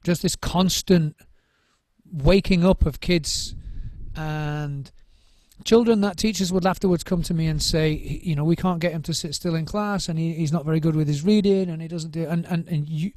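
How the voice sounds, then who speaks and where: A man's voice: fairly high pitch, normal speed, a little mellow, dry recording. A middle-aged man, a drum circle trainer, giving thoughtful interview answers, often restarting and repeating words as he searches for what to say.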